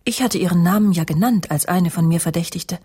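An actress playing a proud, easily wounded, sharp-tongued career woman who narrates a radio crime drama in a cool, clipped way. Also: fairly low pitch, a little fast, breathy edge. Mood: brooding, self-assured. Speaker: first-person narrator and lead of a radio drama. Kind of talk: radio crime drama acting with inner monologue.

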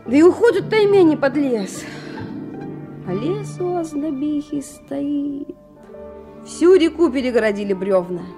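A woman's voice: high-pitched, lively intonation, a little slow, long pauses, warm tone. A woman playing an earnest, simple-hearted young boy who cooks for timber rafters in a Soviet radio drama. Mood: earnest, wistful. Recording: 1970s radio play, music behind.

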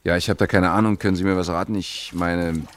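An actor playing a mild, awkward male schoolteacher in a radio play, halting and placating. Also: deep voice, steady tone, lightly acted. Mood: hesitant. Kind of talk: 1970s German radio play acting.

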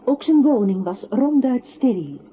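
An actress playing a narrator in a poetic radio play, reciting in a measured, detached way. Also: female voice, fairly high pitch, animated, slight background noise. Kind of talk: literary radio drama recitation. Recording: thin, phone-like old radio-play recording.

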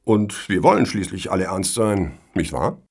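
An actor playing a genial, self-satisfied foundation board member in a satirical radio play, with pompous, flowery phrasing. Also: male voice, sits low, very animated, moderate pace, heavily acted. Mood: genial, officious.

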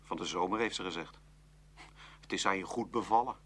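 A man acting an awkward, easily embarrassed teenage boy in a radio play, husky and hesitant. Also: deep voice, brisk, fairly bright tone. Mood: embarrassed, upset.

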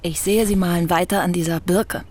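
An actress playing a young woman writer who asks polite, measured and persistent questions in a radio drama. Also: lowish voice, steady tone, darkish tone, close to an everyday voice.

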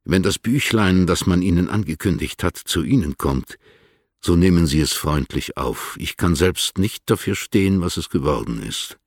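An actor playing an older, courtly poet who reads his letters formally and deliberately in a literary radio play, with a gravelly, husky voice. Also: low-pitched, animated, no room sound, clean studio sound. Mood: polite, reserved. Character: older male poet, measured, courtly, reserved letter-writer.

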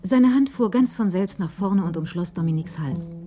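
A woman reads scripted crime narration with measured, literary phrasing. Her voice sits on the low side and is dark and mellow, with some music around it. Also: lively intonation.

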